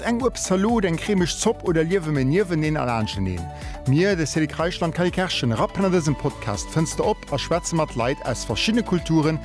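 A man with a Luxembourgish accent hosting a podcast, reading a scripted introduction and then asking curious questions with some restarts. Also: a little high. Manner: curious, hesitant in English with restarts. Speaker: podcast host.

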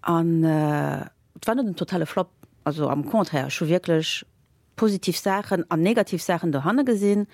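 A woman, a guest in a casual interview, telling stories with lots of fillers and lively laughter. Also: fairly low pitch, moderate pace, no room sound, clean studio sound. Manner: animated, laughing while telling anecdotes, many fillers. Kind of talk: casual storytelling.

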